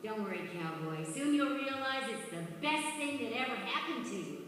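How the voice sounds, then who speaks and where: A woman playing a confident, free-spirited toy shepherdess in an animated storybook, breezy and reassuring. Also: on the high side, moderate pace. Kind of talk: animated film storybook acting. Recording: very echoey distant hall, music underneath.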